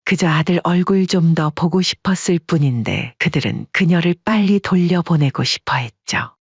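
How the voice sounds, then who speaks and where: A man narrating a family story in calm, measured audiobook style. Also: fairly high pitch, slightly rough, no echo, lo-fi sound.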